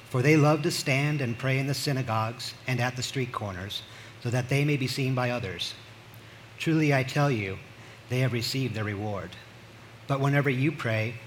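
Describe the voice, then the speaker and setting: A man's voice: mid-range voice, fairly bright tone, poor audio. A man reading a Bible passage aloud as a scripture reader. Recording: faint background ambient noise.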